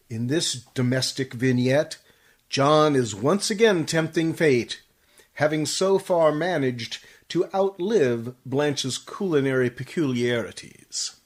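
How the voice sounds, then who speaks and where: A man reading scripted narration as a theatrical announcer for a 1940s-style radio play. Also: on the high side.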